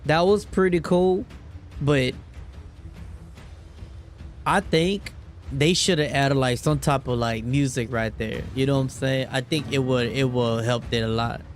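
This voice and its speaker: A man's voice: mid-range voice, steady tone, measured pace, long pauses, faint music behind. A man reacting casually to a video game as he plays, talking in slangy, profane, informal language. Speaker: gaming video creator playing and reacting. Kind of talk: casual gameplay reaction commentary.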